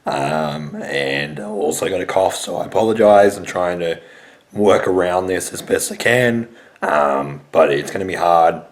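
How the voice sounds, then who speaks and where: A hoarse young man with a raspy, worn-out throat hosts a casual sports vlog. His voice sits deep and low. He rambles along with plenty of ums and uhs as he works around the strain. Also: a little slow. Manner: rambling, many hesitant fillers. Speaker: sports show host.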